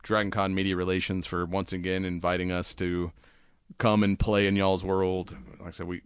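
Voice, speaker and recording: Man, raspy worn voice, low pitch, rambling chatty podcast host, dry close sound. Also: flat delivery, normal speed, no room sound. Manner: rambling, chatty, laughs often. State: raspy, voice worn from cigars and whiskey. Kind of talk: casual chat.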